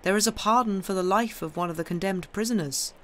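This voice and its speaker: Man acting a loudly proclaiming religious official. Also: high voice, quick pace, a little hiss or hum, voice pushed up. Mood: solemn, proclaiming. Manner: loud, clear proclamation. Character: head of a religious order, solemn official proclaimer.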